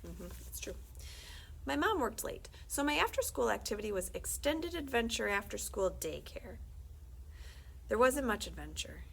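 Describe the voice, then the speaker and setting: A woman reading a children's book aloud as a librarian, conversational and expressive, often dropping into hushed, confiding tones. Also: a little high, normal speed, creaky, slight background noise, poor audio. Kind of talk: animated read-aloud of a children's book with brief asides.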